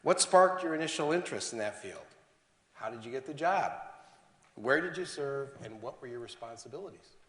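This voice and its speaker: Male moderator, measured and earnest, fades at phrase ends; mid pitch, hall reverb. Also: lively intonation. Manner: earnest, measured, trailing off quietly. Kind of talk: moderating an onstage interview. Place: lecture hall.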